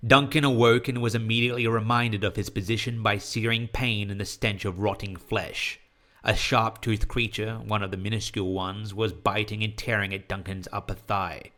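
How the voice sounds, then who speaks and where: A man narrating scripted horror fiction in a measured, literary storytelling manner, as the author and host of an audio story podcast. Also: on the low side, a bit bright.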